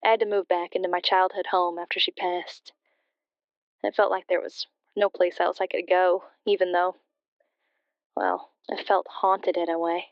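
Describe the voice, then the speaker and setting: Casting: actress as grieving female radio caller, hesitant, even intonation, smooth clean tone, slightly dull phone-in sound. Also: fairly low pitch, moderate pace, no room sound, lightly acted. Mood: subdued grief. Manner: hesitant, subdued. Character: grieving, hesitant daughter phoning a late-night radio show. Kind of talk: confessional storytelling in radio drama. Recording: muffled, band-limited phone-in sound.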